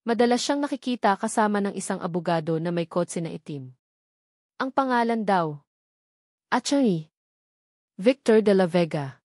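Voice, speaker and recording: A woman's voice: medium pitch, animated, moderate pace, long pauses, a little mellow, no room sound, lightly acted. A woman narrator plays a secretive old man from a poor alley. He is wary of outsiders, speaking storybook dialogue in a dry recording. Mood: wary, hushed.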